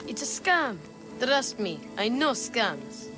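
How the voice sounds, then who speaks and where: A woman playing an eager, feisty young boy in a video game, earnest and excitable. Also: fairly high pitch, slow pace, over music. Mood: insistent. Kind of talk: video game character acting.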